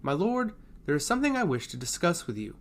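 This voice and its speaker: A man's voice: on the high side, wildly swooping pitch, fast, voice pushed up. A man voicing a stoic, elderly female royal advisor in a fantasy story, formal and deferential. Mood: earnest, grave.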